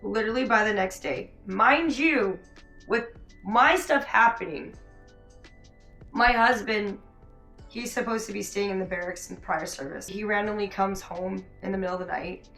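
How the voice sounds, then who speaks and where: Hoarse young woman rambling to her vlog camera, lots of likes, roomy echo, bit of music under it. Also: mid-range voice, moderate pace, sharp tone. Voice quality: raspy, hoarse from illness. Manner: chatty, laughing, many likes and restarts. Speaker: vlogger. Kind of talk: casual storytelling vlog.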